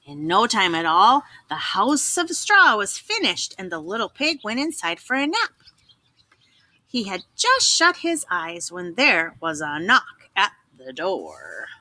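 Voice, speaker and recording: A woman storyteller giving an expressive, dramatic read-aloud narration for children. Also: medium pitch, unhurried, no room sound.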